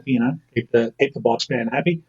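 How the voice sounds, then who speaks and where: A man's voice: medium pitch, fairly quick, a little mellow, husky, muffled recording. A man in his thirties with an Australian accent, a podcast guest chatting casually and joking at his own expense, often restarting his sentences. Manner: chatty, self-mocking, frequent restarts. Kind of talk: casual chat.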